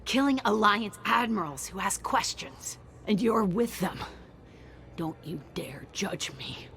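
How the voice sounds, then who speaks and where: A woman's voice: medium pitch, expressive, slow, vocal fry, a bit bright, slight background noise, full character voice. An actress playing a ruthless, defiant female rogue agent in a video game, speaking in a taunting, biting and self-righteous way. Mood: bitter, defiant. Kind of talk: dramatic video game acting. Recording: music under the voice.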